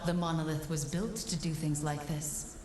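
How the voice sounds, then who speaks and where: A woman playing a composed, knowing guide in a fantasy video game, speaking in a measured, explanatory way. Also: sits low, steady tone, noisy background, lightly acted. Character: composed, knowing female mystical guide and advisor. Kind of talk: dramatic video game acting. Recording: roomy echo, music underneath. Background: music.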